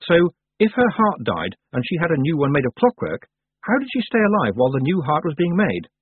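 Young girl witch, male reader, raised pitch, husky edge, hesitant, protesting, storybook. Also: wildly swooping pitch, a little fast, no echo, phone-quality sound, full character voice. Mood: earnest, questioning. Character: young witch girl, earnest, anxious, stubborn.

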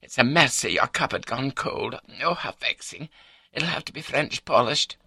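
A man's voice: medium pitch, animated, normal speed, vocal fry, pushed and bright, slightly rough, no echo, pitched above the performer's own voice, heavily acted. A man voicing a prim, scatterbrained elderly Scottish wife in an audiobook, prattling on in an unruffled, matter-of-fact way with a Scottish accent. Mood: flustered, fussing. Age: older.

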